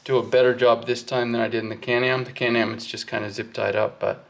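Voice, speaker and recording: A man's voice: mid-range voice, flat delivery, fast talker, creaky, fairly bright tone. A man giving a casual hands-on walkthrough, thinking aloud informally as he works. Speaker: host of a hands-on wiring walkthrough. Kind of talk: casual workshop explanation while working.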